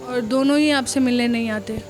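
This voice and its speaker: High-pitched Pakistani woman interviewer over music. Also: steady tone, moderate pace. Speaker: interviewer and host. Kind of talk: on-location documentary interviewing. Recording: music laid under the voice.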